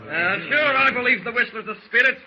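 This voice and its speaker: A man's voice: extremely high pitch, expressive, a little fast. A man playing a sulky, aggrieved Cockney sailor who grumbles and repeats himself, in 1940s radio style. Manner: grumbling, repetitive. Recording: dull, faintly noisy old radio recording.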